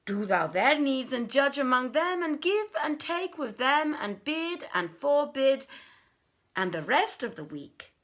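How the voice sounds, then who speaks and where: A woman voicing a wise male wazir who gravely counsels his king in formal, emphatic, declamatory storybook language. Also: sits high, pushed and bright, voice pushed up, heavily acted. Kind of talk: storybook reading of an old tale.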